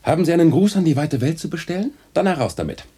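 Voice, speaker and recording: An actor playing a wry, restless male caricaturist in a radio drama, husky and theatrical, with formal old-fashioned address. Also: fairly high pitch, animated, fast, mellow, heavily acted. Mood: ironic, resolute. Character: wry, restless caricaturist bidding his neighbour farewell. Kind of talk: literary radio drama acting.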